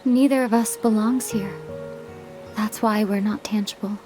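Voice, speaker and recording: An actress playing a calm, gentle, otherworldly young woman in a game cutscene, tender and composed in manner. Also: medium pitch, measured pace, lightly acted. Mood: wistful, solemn. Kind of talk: dramatic game cutscene dialogue. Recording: studio voice under strong music.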